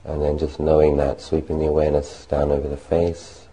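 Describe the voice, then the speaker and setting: Very deep, dark-voiced man guiding meditation. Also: monotone, low-quality recording. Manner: gentle, unhurried, long pauses between short phrases. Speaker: Buddhist monk leading a guided meditation. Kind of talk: slow guided meditation.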